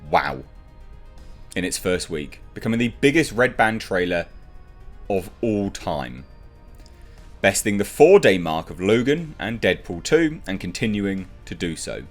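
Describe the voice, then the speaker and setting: A man with a low voice delivers casual film commentary as an online reviewer. His pitch moves widely as he stresses and repeats his points with enthusiasm. Faint music plays behind him. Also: very animated, a little slow. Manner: enthusiastic, emphatic, repeats phrases for emphasis. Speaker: YouTube film news reviewer. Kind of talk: casual movie news commentary.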